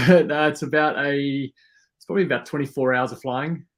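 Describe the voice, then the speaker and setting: A man from South Africa whose first language is Afrikaans, talking as a podcast guest in a relaxed, friendly way, with lots of ums and uhs. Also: on the high side, fairly quick. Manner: relaxed and friendly, many fillers. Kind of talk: casual interview chat.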